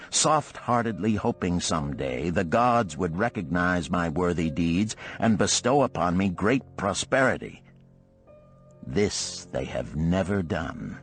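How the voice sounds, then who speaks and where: A man plays a middle-aged craftsman of ancient Babylon, weary of being poor. He declaims earnestly in archaic phrases, with pitch that rises and falls widely. The pace is measured, as in an old parable.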